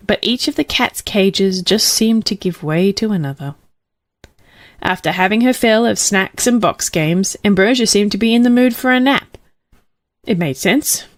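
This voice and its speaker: A woman's voice: medium pitch, no echo, studio quality. A woman reading a storybook aloud as scripted audiobook narration.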